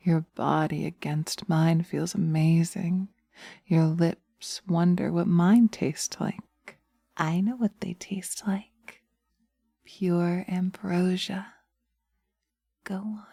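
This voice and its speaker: A woman playing seductive, taunting witches in a slow hypnosis roleplay, speaking in a hushed, dominant manner close to the microphone. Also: on the low side, creaky, no echo, exaggerated voice. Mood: sultry, hypnotic, then whispered, coaxing. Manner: sultry, slow, hushed, often dropping to a whisper. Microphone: close binaural microphone.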